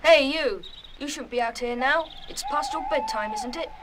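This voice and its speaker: A woman's voice: high voice, moderate pace, a bit bright, slight background noise. An actress playing an officious, indignant young boy school monitor in a children's radio play, bossy and emphatic. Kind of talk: full-cast children's radio play acting.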